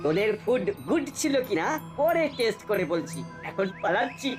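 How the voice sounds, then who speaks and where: Smug, animated cartoon bully ringleader by a woman. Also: medium pitch, normal speed, natural voice. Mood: smug, mocking. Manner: smug, mocking. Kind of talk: cartoon voice acting. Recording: music behind the voice. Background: cartoon background music.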